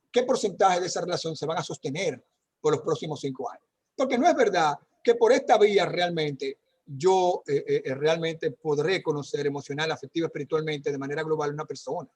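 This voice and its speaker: A man with a somewhat high, husky voice answers parents' questions on a video call. He talks conversationally, full of fillers and questioning tags. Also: a little mellow. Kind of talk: online talk with questions.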